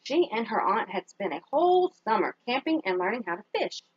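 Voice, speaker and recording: A woman with a fairly high, very lively voice reads a children's story aloud like a teacher. She stops to ask her young listeners simple questions. The recording is dry and a bit dull. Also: breathy edge, no room sound. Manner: pauses to put simple questions to young listeners and praise their answers. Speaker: teacher reading a picture book aloud to children.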